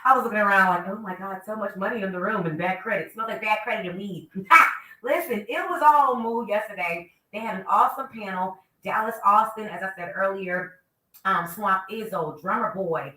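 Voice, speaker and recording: A woman's voice: medium pitch, normal speed, roomy sound, far from the mic, low-quality recording. A woman hosting a morning radio show, chatty and upbeat. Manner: upbeat, chatty, lots of shout-outs. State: stuffy from a cold. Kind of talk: casual morning radio talk.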